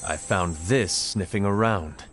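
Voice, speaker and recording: A stern fantasy game leader, grave and formal. His voice is fairly low, and faint music runs underneath. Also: measured pace, fairly bright tone. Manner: formal, serious. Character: grave, stern male leader among apprentices. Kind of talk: video game cutscene acting.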